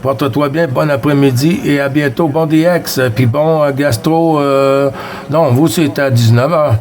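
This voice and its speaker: A man from Quebec with a Québécois French accent, chatting casually with another operator over CB radio. He is friendly and unhurried, and reads out call signs and signal reports. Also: a little high, steady tone, darkish tone. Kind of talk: casual radio contact chat.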